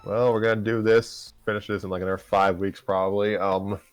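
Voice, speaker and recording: A man's voice: fairly low pitch, little pitch movement, brisk, no room sound. A man chatting casually as co-host of a livestreamed story-reading show, with a dry, joking manner. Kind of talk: casual group chat on a livestream.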